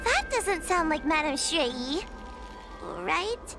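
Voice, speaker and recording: Very high-pitched timid young girl. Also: very animated, slow pace, loud music behind the voice, exaggerated voice. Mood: timid, unsure. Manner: hesitant, nervous, earnest. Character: timid, cowardly young girl spirit-hunter, anxious but earnest. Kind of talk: anime-style game voice acting.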